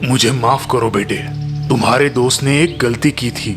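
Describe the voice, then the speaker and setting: A man's voice: mid-range voice, close to an everyday voice. A man voicing an old forest holy man, grave and admonishing, with a solemn, preachy delivery. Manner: solemn, preachy, sometimes slow and verse-like. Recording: music behind the voice.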